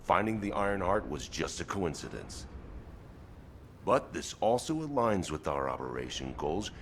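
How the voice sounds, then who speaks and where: An actor playing a stern, proud, battle-worn soldier in a video game, blunt and bent on revenge. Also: male voice, a little hiss or hum. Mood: grim, resolute. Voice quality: deep, weighty. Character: gruff battle-worn male soldier, vengeful, proud, loyal. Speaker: actor voicing a game character. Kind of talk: scripted game dialogue.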